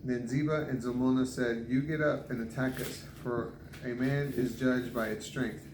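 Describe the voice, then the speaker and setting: A man reads scripture aloud at an ordinary middle pitch. His delivery is halting, with stumbles over difficult names. The recording is echoey, with clear noise behind him. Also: steady tone. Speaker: scripture reader. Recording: echoey room with background noise.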